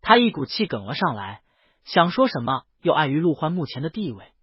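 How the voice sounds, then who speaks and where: Lively higher-pitched man narrating a story. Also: a little fast, husky, no room sound, lo-fi sound. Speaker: audiobook narrator. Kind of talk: dramatic scripted story narration.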